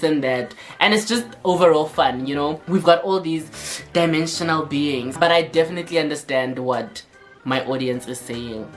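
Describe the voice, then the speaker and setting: Sassy South African woman vlogging. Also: low voice. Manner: sassy, playful, code-switching banter. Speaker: YouTuber. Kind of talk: casual vlog talk to camera.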